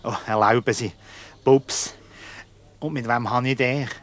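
A bashful male detective played by an actor from a radio comedy, with a Swiss German accent and a mid-pitched voice. He stammers and hesitates, easily charmed and quickly flustered. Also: steady tone, lightly acted. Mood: puzzled, curious.

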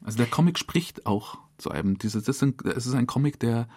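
A man chats informally as a director being interviewed in a studio. His voice is husky and mid-pitched, and his sentences often break off and start again. The recording is dry. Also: lively intonation, fairly quick, darkish tone, dry recording. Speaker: radio drama director, guest. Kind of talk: casual interview chat.

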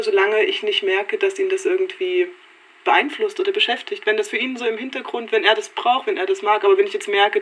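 A woman's voice: medium pitch, a little hiss or hum. A woman talking casually and reflectively, thinking aloud in loose, self-correcting sentences.

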